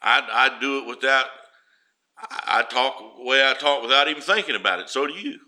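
A male preacher speaks in a plain, homespun way and leans on everyday examples. His voice is naturally loud and very bright, almost cutting, and it climbs in pitch when he drives a point home. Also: fairly quick. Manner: homespun, storytelling, rises loud for emphasis. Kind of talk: folksy sermon.

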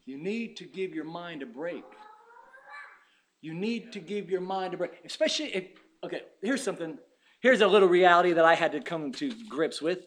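A man in his fifties preaching a sermon, emphatic, swinging from loud urging to hushed asides and acting out his listeners' objections. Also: high voice, animated, moderate pace, slight background noise. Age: 50s. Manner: acts out the doubting listener's objections. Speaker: pastor.

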